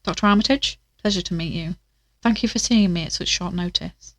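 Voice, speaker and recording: A woman's voice: lowish voice, a little fast, a bit bright, no echo. A woman playing an earnest investigator in an audio drama, talking in a thoughtful, conversational way. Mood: polite, businesslike. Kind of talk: audio drama acting, naturalistic diary narration.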